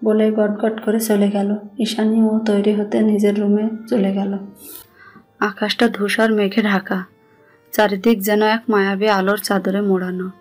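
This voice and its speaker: A woman's voice: medium pitch, flat delivery, a little slow, darkish tone. A woman with a Bangladeshi accent reading a scripted romantic story as its narrator. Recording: music bed behind the voice.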